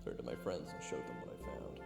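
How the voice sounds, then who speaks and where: A man's voice: rumbling low, lively intonation, brisk, creaky, heavily acted. A man playing a goofy, boastful young human adventurer who tells his own tale in an audio drama, theatrical and joking. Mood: storytelling. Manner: theatrical, joking, conversational storytelling. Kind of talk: first-person audio drama narration and dialogue. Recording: echoey, reverberant, music underneath. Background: music.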